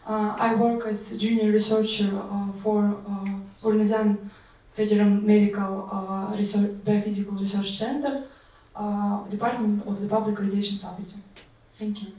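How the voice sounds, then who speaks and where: A woman's voice: medium pitch, little pitch movement, normal speed, darkish tone. A woman from Russia, a junior researcher, introducing herself hesitantly with many fillers. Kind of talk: self-introduction. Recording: echoey dull room from far off.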